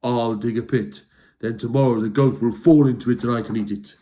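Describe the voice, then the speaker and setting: A man's voice: mid-range voice, steady tone, fairly quick, dark tone, natural voice. A man doing a simple hungry storybook troll. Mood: eager. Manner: small nervous voice when scared. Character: simple hungry male troll, timid and gentle. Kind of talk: storybook reading for children.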